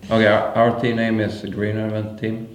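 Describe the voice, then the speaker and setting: A man in his thirties speaks in a low, very flat voice. He introduces himself slowly and carefully. The recording is roomy, with faint ambience behind him. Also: slight background noise. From southern Sweden. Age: 30s.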